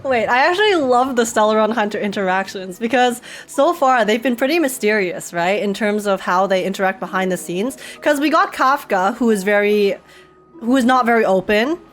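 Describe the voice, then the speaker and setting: A woman streamer giving a casual live reaction to a game story, expressive and slangy, often repeating phrases for emphasis. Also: mid-range voice, normal speed. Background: game music and dialogue.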